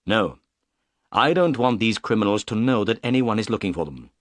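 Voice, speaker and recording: A man reading the part of a calm, polite detective in a storybook, speaking firmly and briskly. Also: on the low side, dry recording, lightly acted. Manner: firm, clipped, quick delivery.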